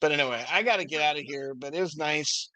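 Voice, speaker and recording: Bright-voiced man in dry casual chat. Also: fairly high pitch, no room sound, low-quality recording. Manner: halting, repetitive, filler-heavy. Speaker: guest on a livestream.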